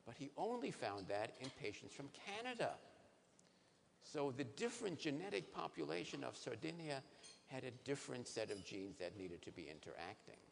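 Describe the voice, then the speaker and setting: Man, 60s, neurologist lecture, gravelly voice, long hall reverb, faint background noise. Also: medium pitch. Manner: animated, asks the audience questions. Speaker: neurologist giving a conference talk. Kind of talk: lively science lecture to patients. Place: large conference hall stage.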